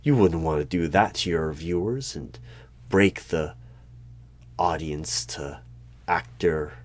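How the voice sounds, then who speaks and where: A man playing an eerie, probing hiring manager in a one-sided ASMR interview roleplay, speaking deliberately with pauses between questions. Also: extremely low pitch. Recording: background noise with keyboard typing and clicks. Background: computer keyboard typing.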